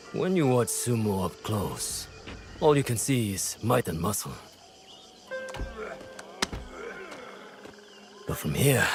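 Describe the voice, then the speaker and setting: An actor playing a shrewd, philosophical strategist in a video game, with a measured, assured, oratorical way of speaking. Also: male voice, on the low side. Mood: knowing. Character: shrewd, philosophical male strategist, confident and composed. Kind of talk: video game cutscene acting.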